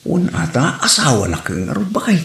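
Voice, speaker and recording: A man's voice: a little high, wildly swooping pitch, a little fast, creaky, fairly bright tone, exaggerated voice. A man with an Ilocano accent playing a devoted, needy husband in an audio comedy drama. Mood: coaxing. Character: devoted, needy husband coaxing his working wife. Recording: roomy echo, faint background noise.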